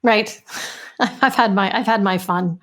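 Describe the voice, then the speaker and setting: Mid-pitched woman, halting and reflective. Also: a bit bright. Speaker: playwright. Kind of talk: reflective discussion of own work.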